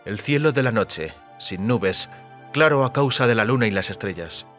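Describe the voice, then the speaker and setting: Guy narrating a fantasy audiobook, medium pitch, literary read, some music under it. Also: animated, moderate pace, a bit bright.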